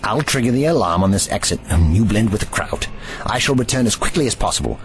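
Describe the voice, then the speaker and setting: A man playing a calm, scheming time traveller in an audio drama, formal and self-assured. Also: lowish voice, fast, a little hiss or hum. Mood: calm, decisive. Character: brisk, calmly scheming eccentric male time traveller.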